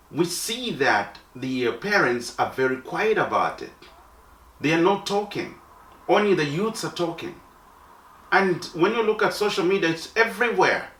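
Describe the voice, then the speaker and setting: A man from Zambia speaking slowly and deliberately to his fellow countrymen, pausing often with fillers. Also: medium pitch, a bit bright. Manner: slow and deliberate, with many pauses and fillers. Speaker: diaspora commentator. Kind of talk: spoken address to viewers. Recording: roomy, from a distance off the mic.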